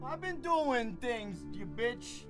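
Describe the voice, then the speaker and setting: High-pitched, needling man. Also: big pitch swings, slow, a bit bright, soft background music, heavily acted. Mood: evasive. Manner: needling, crude, wheedling. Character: Lenny, eerie, taunting, pleading brother outside a door. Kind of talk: audio drama acting.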